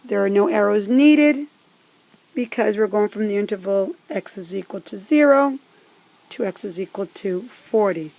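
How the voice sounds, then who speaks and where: A woman teaching math step by step, speaking in a deliberate, instructive way with pauses between phrases. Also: mid-range voice, a little mellow, rough recording. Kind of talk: scripted step-by-step instructional narration.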